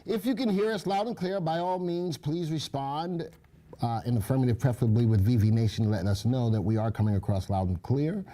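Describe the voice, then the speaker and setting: A man's voice: medium pitch, a little fast, dark tone. A man co-hosting a live stock market show, chatting casually and joking as he reads the viewer chat, on a muffled mic.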